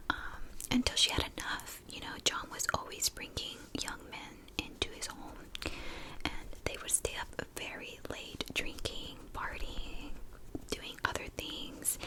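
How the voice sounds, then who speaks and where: A woman speaks in a breathy, hushed whisper, as in an ASMR video. She tells her story casually and gently, taking her time and pausing between short phrases. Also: rough recording. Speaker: ASMR creator and true crime storyteller.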